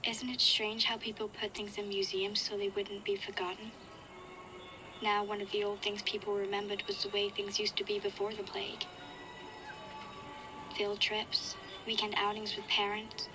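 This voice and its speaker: An actress playing a wistful young girl survivor, narrating a reflective, measured audio diary for a video game. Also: medium pitch, completely flat delivery, noisy background, close to an everyday voice. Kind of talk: scripted first-person audio diary narration.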